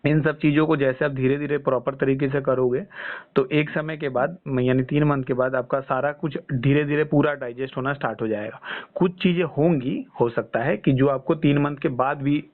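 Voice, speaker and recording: A man's voice: mid-range voice, fairly even intonation, moderate pace. A man giving reassuring health guidance as a coach over a phone call, explaining step by step and often checking with short tag questions. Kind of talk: phone consultation, explaining and advising. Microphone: phone line. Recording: tinny band-limited phone call.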